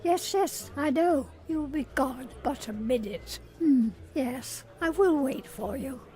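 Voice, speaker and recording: Brief: a woman as a genteel flirt, high voice, slow unhurried lines. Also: animated, warm tone. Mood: coy. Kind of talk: amateur radio drama acting.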